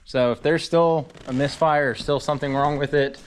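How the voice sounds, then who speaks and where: Guy talking loose and offhand, kinda thinking out loud. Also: a little high, fairly even intonation, normal speed, slight background noise. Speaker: drag racer and vlogger. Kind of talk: casual vlog update.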